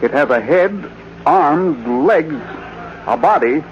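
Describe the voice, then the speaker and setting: An actor playing a skeptical, wary newspaper editor with dramatic delivery in an old-time radio drama. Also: male voice, a little high, lively intonation, takes it slowly. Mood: declamatory. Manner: reads copy aloud dramatically. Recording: muffled, hissy old radio recording.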